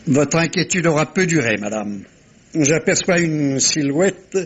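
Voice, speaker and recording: An actor playing a shrewd, self-assured middle-aged detective in a French radio mystery, commanding and theatrical, speaking with deliberate dramatic pauses. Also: male voice, a little high, animated, slightly rough. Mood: courteous, commanding. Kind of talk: 1950s-style French radio mystery acting.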